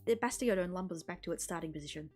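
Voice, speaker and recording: A woman streamer reading a visual novel aloud in an expressive storytelling manner. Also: lowish voice, very fast. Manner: expressive storytelling with character voices. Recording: music behind the voice. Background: music.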